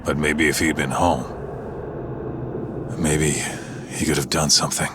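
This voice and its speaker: A man's voice: a bass voice, steady tone, unhurried, noisy background. An actor playing a streetwise, loyal tough guy in a video game, frank, somber and plain-spoken. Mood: somber, reflective. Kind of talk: dramatic game voice acting, storytelling.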